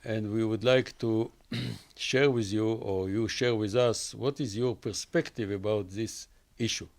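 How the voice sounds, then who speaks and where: A man's voice: fairly low pitch, fairly even intonation, measured pace. An older man from Israel hosting a studio interview, formally and effusively introducing his guest in simple English. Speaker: interview host.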